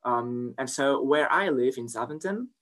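A man's voice: medium pitch, lively intonation, moderate pace, fairly bright tone. A young Belgian man with a French accent, a native French speaker, chatting as an interview guest with many fillers and restarts. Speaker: guest, polyglot and language teacher. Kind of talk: casual interview conversation.

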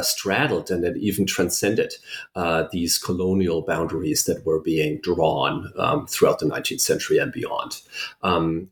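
Deep-voiced German man, halting academic. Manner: thoughtful, many ums and restarts. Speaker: historian author. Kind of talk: academic interview answer.

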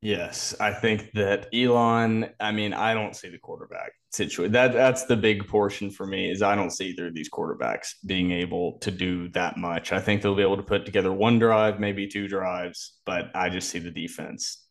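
A man co-hosting a sports podcast, giving measured, detailed football analysis in a casual preview style. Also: low-pitched, steady tone.

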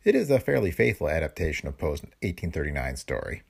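A man hosting a podcast, reading a scripted introduction in a gravelly, husky voice. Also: rumbling low, sing-song, fast. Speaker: podcast host.

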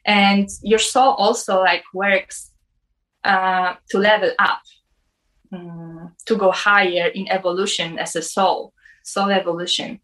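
A woman's voice: mid-range voice, flat delivery, fairly bright tone, poor audio. Young woman, personal testimony, hesitant, filler-heavy. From Poland. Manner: halting, many "like" fillers.

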